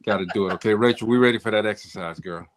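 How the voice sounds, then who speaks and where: Man co-host, low voice, easygoing slangy banter. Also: very fast. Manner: loose, joking, slangy. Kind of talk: casual panel talk.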